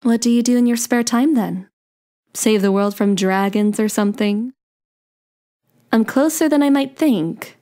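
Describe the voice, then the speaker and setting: A woman playing a playful, sweet young daughter of Cupid in an ASMR romance roleplay, coy and gently teasing. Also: on the high side, a little slow, pauses often, close to an everyday voice. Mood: curious, teasing.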